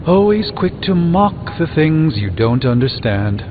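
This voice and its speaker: A man's voice: on the high side, sing-song, measured pace, noisy background. A man playing a proud, scholarly sorcerer in a video game, speaking in a formal, dry, disdainful way. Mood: haughty. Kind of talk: video game dialogue acting. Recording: muffled, thin audio in long reverb.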